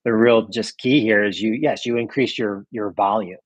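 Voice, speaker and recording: A man's voice: fairly low pitch, steady tone, normal speed, no echo, dull audio. A man, a cycling coach, explaining training ideas casually with many "right?" checks.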